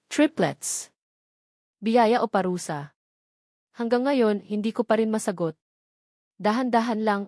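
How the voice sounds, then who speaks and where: A woman with a Filipino accent reading a first-person dramatic love story in an emotive way, with short deliberate phrases. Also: mid-range voice, a little mellow, no room sound, clean studio sound. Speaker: story narrator.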